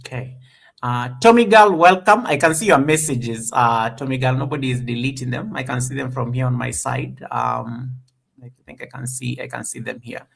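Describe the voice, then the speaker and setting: A man in his thirties with a gravelly, creaky voice hosting a relaxed live chat. He talks in an encouraging way and often loops back to restart his sentences. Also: medium pitch, moderate pace. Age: 30s. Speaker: host. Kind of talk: casual live-stream interview.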